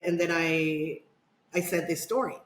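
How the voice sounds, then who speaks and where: A woman's voice: lowish voice, steady tone, measured pace. Middle-aged woman guest, rambling story, roomy echo. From Dominican Republic. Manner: rambling, many fillers and restarts. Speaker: podcast guest. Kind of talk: casual personal storytelling. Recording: echoey room.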